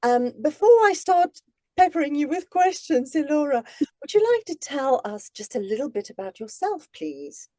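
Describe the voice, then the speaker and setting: A woman from Namibia hosts an author interview over a video call, eager and encouraging. Her tone is warm and mellow, and the sound is close and dry with no room echo. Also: high-pitched, big pitch swings, moderate pace. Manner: encouraging, enthusiastic. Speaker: host interviewer. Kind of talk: friendly interview hosting.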